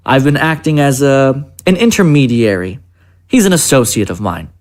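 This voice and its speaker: A man's voice: mid-range voice, lively intonation, moderate pace, natural voice. Man playing a formal, courteous government aide. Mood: courteous, guarded. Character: polite, secretive presidential chief of staff. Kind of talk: audio drama dialogue.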